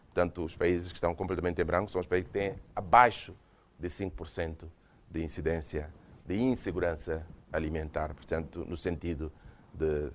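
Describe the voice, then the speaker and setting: A man's voice: low-pitched, slight background noise. A man from Mozambique with a Mozambican Portuguese accent, giving a university lecture with slides and speaking hesitantly, with frequent restarts. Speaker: guest lecturer. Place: lecture hall.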